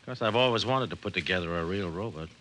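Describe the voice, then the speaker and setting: An actor playing an excitable, flustered hobbyist husband in 1950s radio drama style, stammering and repeating himself. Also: male voice, sits low, fairly quick. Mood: excited, protesting. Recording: old radio broadcast with faint noise.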